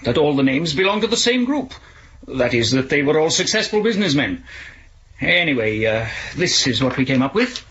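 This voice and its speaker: A man's voice: on the high side, very animated, moderate pace, a bit bright, slight background noise. A man playing a smug, precise government expert in a 1970s radio drama, speaking formally and pedantically. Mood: smug, measured. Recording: dull lo-fi 1970s radio recording.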